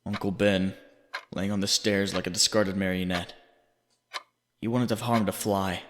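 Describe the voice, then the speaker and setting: A man playing a haunting inner voice, narrating in the second person with deliberate, accusing, dramatic delivery. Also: low-pitched, little pitch movement, fairly bright tone. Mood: somber, accusing. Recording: long reverb, faint background noise.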